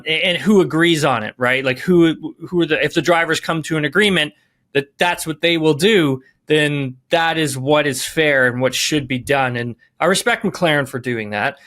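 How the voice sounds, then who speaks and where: A man's voice: on the high side, a bit bright. A man giving podcast sports analysis, emphatic, rephrasing and repeating key words as he goes.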